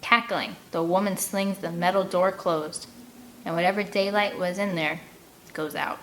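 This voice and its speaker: Expressive woman reading aloud, noisy recording. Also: fairly low pitch, unhurried. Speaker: read-aloud host and narrator. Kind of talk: expressive audiobook narration with casual asides.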